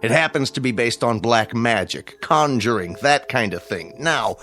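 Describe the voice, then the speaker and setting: A man's voice: mid-range voice, lively intonation, fairly bright tone, soft background music, natural voice. Man playing a weary, sardonic talent agent. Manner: dry, weary, cutting, deliberate delivery. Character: exasperated, sardonic veteran male talent agent. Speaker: actor. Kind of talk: comic television drama acting.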